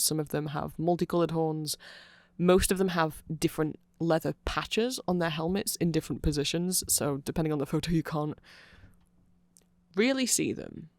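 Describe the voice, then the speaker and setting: Guy doing a nerdy vampire asmr ramble, bit high voice, super dry close sound, lots of ums. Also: no room sound, natural voice. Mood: absorbed, musing. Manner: rambling, filler-heavy, earnest fannish enthusiasm. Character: nerdy rambling male vampire explaining band lore.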